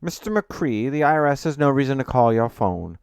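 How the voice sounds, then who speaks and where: A man's voice: mid-range voice, brisk, darkish tone, clear, resonant tone, dry recording, studio quality, pitched above the performer's own voice, close to an everyday voice. A man voicing an earnest, authoritative accountant in an audiobook, speaking in a firm, assertive way. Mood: firm, confident. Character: earnest male accountant, authoritative, confident, easily annoyed.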